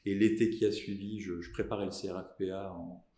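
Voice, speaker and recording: A man in his thirties from southern France, a lawyer telling the story of his career in a podcast interview, pausing often with fillers and restarts. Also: deep voice, flat delivery, dark tone, echoey room, a little hiss or hum. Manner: halting, many fillers and restarts. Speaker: lawyer guest.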